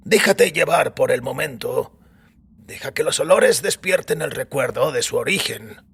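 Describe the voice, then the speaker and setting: A man voicing a weary, ceremonious old priest in audio fiction, raspy and creaky, slow and savoring as he instructs. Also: medium pitch, expressive, a bit bright, pitched above the performer's own voice, full character voice. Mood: savoring, instructive. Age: older.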